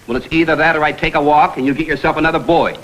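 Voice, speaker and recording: An actor playing a tough, principled investigator in a vintage TV detective drama, speaking in a clipped, authoritative, businesslike way. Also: male voice, on the high side, fairly even intonation, rapid-fire, fairly bright tone, lightly acted, low-quality recording. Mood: firm, annoyed. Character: tough, principled hired investigator, firm and blunt. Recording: vintage TV soundtrack, faint music.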